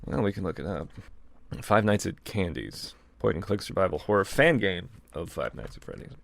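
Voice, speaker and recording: Male co-host and reader, lower pitch, very dry close sound, deadpan casual delivery. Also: normal speed, creaky, dry recording. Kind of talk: reading fan fiction aloud with casual asides.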